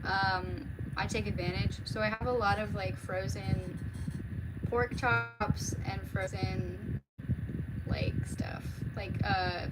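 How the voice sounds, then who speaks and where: A woman's voice: medium pitch, steady tone, slow, very noisy. An American woman chatting casually on a livestream in an informal, rambling way, often trailing off. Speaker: livestreamer, read-aloud host. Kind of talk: casual livestream chat with viewers.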